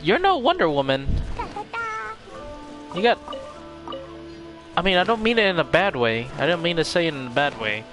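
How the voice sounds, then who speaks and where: A male game streamer with a lively, mid-pitched voice. He gives casual, chatty commentary and talks back to the game, over faint music. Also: moderate pace, long pauses. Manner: chatty, reacts aloud to the characters.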